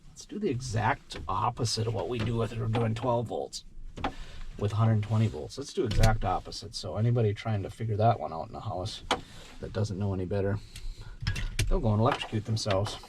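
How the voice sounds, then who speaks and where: A man's voice: lowish voice, a little fast, noisy background. Rambling man talking to himself in a garage. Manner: rambling, self-talking while working, mutters at parts. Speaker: vlogger doing truck and shop repairs. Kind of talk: casual chat while working.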